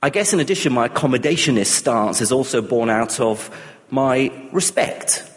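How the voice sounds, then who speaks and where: A middle-aged man from Iraq speaks in a reflective, conversational way, circling back and restarting his phrases. His voice sits at an ordinary pitch. It carries a long hall reverb. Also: moderate pace. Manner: thoughtful, many restarts and fillers. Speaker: speaker on humanism and atheism. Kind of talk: reflective personal talk.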